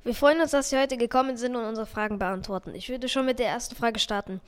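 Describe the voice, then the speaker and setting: Polite teenage girl interviewer, clear voice. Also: on the high side, fast. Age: teens. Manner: polite, formal questions. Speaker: student interviewer. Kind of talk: school podcast interview.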